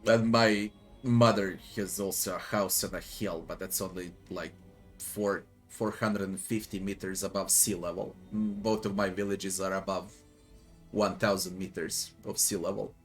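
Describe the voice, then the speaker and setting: A man's voice: low-pitched, flat delivery, unhurried. A man from Serbia with a Serbian accent gives casual game commentary, grumbling, swearing and muttering to himself. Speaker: gamer doing a playthrough. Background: faint game music.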